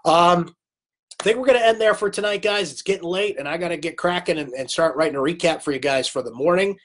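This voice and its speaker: A man hosting a late-night live sports recap in a fairly high voice, chatty and opinionated. His voice is worn by a cold, and he talks straight into a webcam. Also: fast talker, a bit bright. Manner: chatty, opinionated, reads viewer comments aloud. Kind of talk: casual live-stream sports talk.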